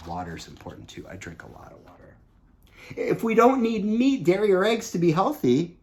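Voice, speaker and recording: Male children's read-aloud host, mid-pitched, highly expressive intonation, earnest teacherly delivery. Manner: earnest, emphatic, didactic. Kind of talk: expressive picture-book reading with asides to young listeners.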